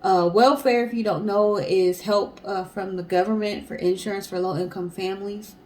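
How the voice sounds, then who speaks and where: Female co-host, average pitch, casual explanatory storyteller, faintly noisy recording. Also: steady tone, moderate pace, a little mellow. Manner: conversational, explanatory.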